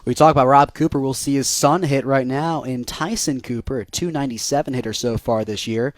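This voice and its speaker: A man's voice: mid-range voice, brisk, no echo. A young American man doing live radio play-by-play of a baseball game as the announcer.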